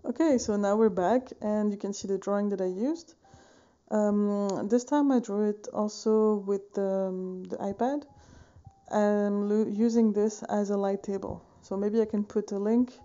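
A woman in her thirties from Switzerland talks through her painting process at home. Her voice is very dark and mellow. She rambles in a relaxed way, full of fillers and self-corrections, recorded on a phone camera at desk distance. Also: mid-range voice, unhurried, highs cut off. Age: 30s. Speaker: painting vlogger. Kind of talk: casual tutorial chat. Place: home studio room, indoors, wooden shelves.

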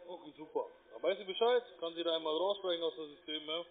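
Fast-talking salesman on a phone line. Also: fairly high pitch. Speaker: call centre sales agent. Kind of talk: cold sales call. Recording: tinny narrowband phone line.

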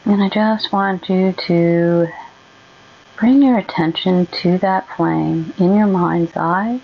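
Woman, meditation guide, lowish creaky voice, gentle and soothing, unhurried delivery, muffled lo-fi sound. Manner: gentle, unhurried, with long pauses.

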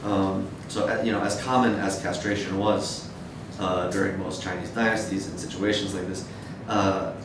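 Male academic, deep voice, measured and hesitant lecture delivery, recorded from afar in a reverberant room. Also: level tone. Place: dim wood-shelved seminar room with audience, indoors. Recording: echoey room, distant mic, noisy rumble.

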